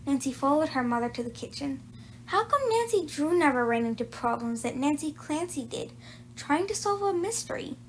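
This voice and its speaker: High-pitched woman reading a children's story. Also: animated, normal speed, a little hiss or hum, poor audio. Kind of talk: animated read-aloud narration for children.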